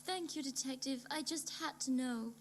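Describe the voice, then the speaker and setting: A woman's voice: high voice, normal speed, a little hiss or hum, natural voice. A woman playing an earnest, troubled female client in a noir detective radio play, speaking dramatically. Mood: mournful.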